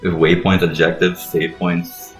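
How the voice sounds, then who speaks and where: A man's voice: low voice, little pitch movement, unhurried. A man giving casual, explanatory and sometimes sweary commentary while playing a video game. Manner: explanatory, profane. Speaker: let's play host playing and explaining the game. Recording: roomy echo with game music underneath.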